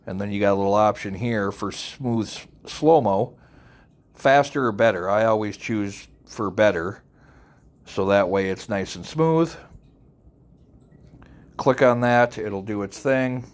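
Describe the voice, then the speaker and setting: A man with a fairly low voice presents a software tutorial. He speaks informally and without a script, instructing one step at a time. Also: a little slow, pauses often. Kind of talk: casual, unscripted software tutorial narration.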